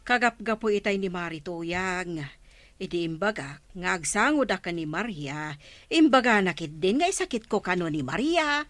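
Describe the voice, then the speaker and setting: A woman's voice: fairly low pitch, very animated, moderate pace, a bit bright, no echo. A woman playing a gossipy village woman who chattily relays news, with an Ilocano accent, in a radio comedy-drama. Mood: reporting.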